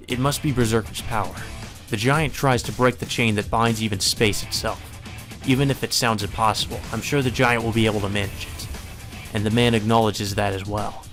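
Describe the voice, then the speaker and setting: A man's voice: lowish voice, fairly even intonation, moderate pace, a bit bright. A man reading a visual novel aloud as solemn, dramatic scripted narration. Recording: music behind the voice.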